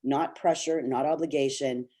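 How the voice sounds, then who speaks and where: A man's voice: mid-range voice, steady tone, normal speed, warm tone. A middle-aged man from Canada, an actor speaking on a video call webinar, talking earnestly and encouragingly with many fillers and restarts. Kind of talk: candid talk to young actors.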